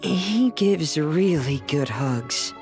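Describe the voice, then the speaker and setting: A reserved young stage assistant in a fantasy game. She speaks slowly and rather low, in a deadpan way, over background music. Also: exaggerated voice. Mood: calm, reserved. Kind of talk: video game voice acting. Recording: music under the voice.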